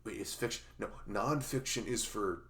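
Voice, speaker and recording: A man acting a low-voiced, dorky smitten best friend. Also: fairly bright tone, natural voice. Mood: goofy, playful. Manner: halting, hesitant, rambling and awkward. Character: dorky, smitten young male best friend, shyly confessing love. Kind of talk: intimate ASMR roleplay audio.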